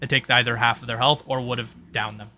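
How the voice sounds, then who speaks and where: A man working as game master, explaining tabletop roleplay rules in a halting way, thinking aloud. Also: mid-range voice, steady tone, pushed and bright, slight background noise.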